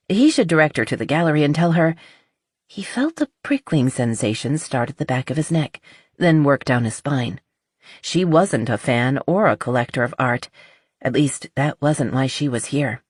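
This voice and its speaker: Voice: mid-range voice, husky, no room sound, studio quality. An audiobook narrator reading scripted romance fiction.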